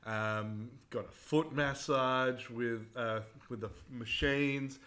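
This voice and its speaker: A man's voice: medium pitch, steady tone, slow, sharp tone. Young Australian male co-host, laid-back, jokey asides. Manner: laid-back, laughs easily, mock-serious asides. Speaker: podcast co-host. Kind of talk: casual chat.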